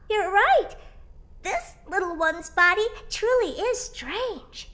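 A woman's voice: extremely high pitch, slow, heavily acted. A woman playing a haughty, blunt young female genius in a video game, flippant and condescending. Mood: intrigued. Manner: flippant, condescending, theatrically expressive. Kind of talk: video game character dialogue.

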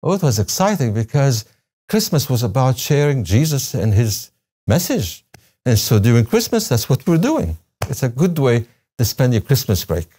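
A man's voice: mid-range voice, big pitch swings, normal speed, mellow, husky. An older man from Syria, a native Arabic speaker with a Syrian Arabic accent, recalling his life as a guest in a studio interview. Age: 60s or older. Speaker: interview guest, retired religion professor. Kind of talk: personal recollection in an interview. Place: television studio, seated at a desk. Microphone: lapel mic.

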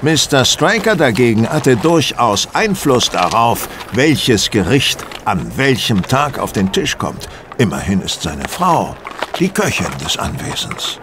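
Superior polite detective in an audio drama, very lively pitch, noisy outdoor background. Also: male voice, unhurried. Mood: explaining. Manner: courteous, theatrical, precise explaining. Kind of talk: German audio drama acting.

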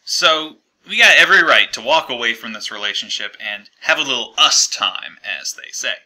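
A man's voice: medium pitch, animated, moderate pace, bright, forceful tone, low-quality recording. A man playing the American colonies as an awkward, fed-up partner leaving a breakup voicemail in a hesitant, conversational, aggrieved way. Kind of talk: comedic monologue as a breakup voicemail.

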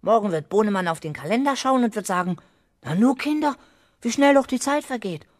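A man's voice: high-pitched, lively intonation, fairly quick, no room sound, studio quality. Man acting a cocky, scheming young schoolboy. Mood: scheming, pleased. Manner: boastful, emphatic, self-assured. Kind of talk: 1970s German children's radio play acting.

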